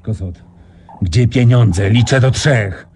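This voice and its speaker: An actor playing an undercover counterintelligence captain in a radio drama, calm and commanding with a dry irony. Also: male voice, medium pitch, takes it slowly, gravelly, a little hiss or hum. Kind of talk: 1990s radio drama acting.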